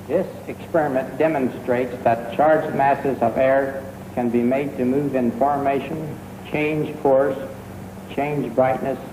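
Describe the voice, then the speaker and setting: A middle-aged man explains science formally and carefully in a mid-pitched voice. The old recording is echoey and noisy. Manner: formal, measured. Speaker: physicist. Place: laboratory.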